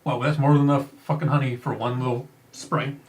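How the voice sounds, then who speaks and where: A man's voice: medium pitch, level tone, brisk. A man playing a tabletop game, chatting casually with the group. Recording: clear background noise with clicks.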